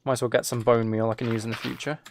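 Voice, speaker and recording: Creaky-voiced man chatting on a gaming stream. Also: mid-range voice, level tone, rapid-fire, slight background noise. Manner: rambling, self-deprecating. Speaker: live streamer. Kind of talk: casual gaming livestream chat, commentary.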